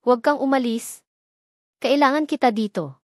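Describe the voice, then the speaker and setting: A woman's voice: sits high, normal speed, dry recording, clean studio sound, voice pushed up, close to an everyday voice. A woman narrator reading the part of a wealthy, mysterious, lonely man, earnest and vulnerable, with a Filipino accent. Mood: pleading.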